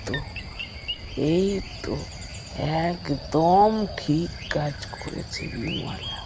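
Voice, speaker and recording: A woman voicing a wise, calm elderly village grandmother in a cartoon, explaining gravely and with measured words. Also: on the low side, background noise. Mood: calm, firm. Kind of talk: cartoon voice acting.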